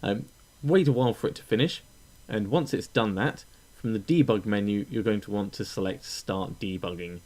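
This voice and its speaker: A man narrating a step-by-step software tutorial in an informal, explanatory way. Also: on the low side, moderate pace. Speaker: tutorial presenter.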